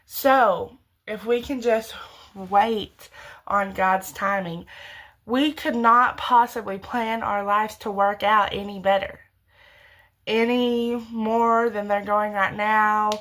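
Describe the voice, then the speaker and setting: A woman's voice: medium pitch, fairly even intonation, slow, fairly bright tone. Earnest young woman talking faith. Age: young adult. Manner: earnest, rambling, self-correcting. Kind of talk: casual faith talk to camera.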